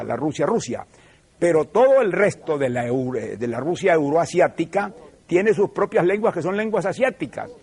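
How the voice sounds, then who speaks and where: An older man with a Venezuelan accent, hosting a TV program and lecturing in a measured, professorial, emphatic way. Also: fairly high pitch, a little mellow, breathy edge. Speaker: TV show host. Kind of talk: televised lecture.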